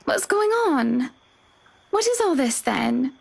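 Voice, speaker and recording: Doting, eerie wife for a horror game, voiced by a man; high pitch, heavy creak, gentle formal manner. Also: sing-song, takes it slowly, heavily acted. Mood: puzzled. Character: soft, affectionate wife, composed and faintly eerie.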